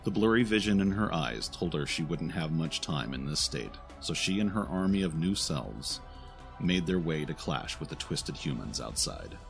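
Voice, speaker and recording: A man's voice: a bass voice, big pitch swings, moderate pace, creaky, over music. A man reading scripted audio drama narration in a dramatic, solemn storytelling manner.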